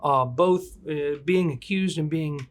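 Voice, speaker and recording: A man's voice: on the high side, takes it slowly. A man hosting a legal podcast in casual, joking talk, retelling a case with loose asides. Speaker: podcast host, attorney.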